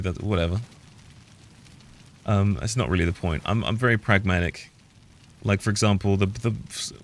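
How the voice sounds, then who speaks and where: A man's voice: lowish voice, a bit bright, a little hiss or hum. A British man streaming a game, rambling opinionated commentary that keeps trailing off and restarting. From United Kingdom. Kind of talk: casual gameplay commentary.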